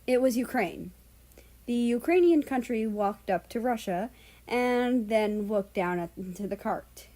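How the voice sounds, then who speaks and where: A woman's voice: a little high, lively intonation, unhurried, dark tone. A woman narrating a fan-written story aloud as its reader.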